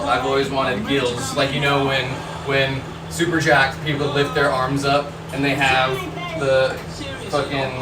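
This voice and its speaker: A deep-voiced man rambles and jokes through a live stream as he works in a glassblowing workshop, swearing casually between thoughts. He sits back from the mic, so his voice rings around a roomy, echoey space. Loud workshop noise runs under everything he says. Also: far from the mic. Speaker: glassblower livestreamer. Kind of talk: casual live-stream chat while working.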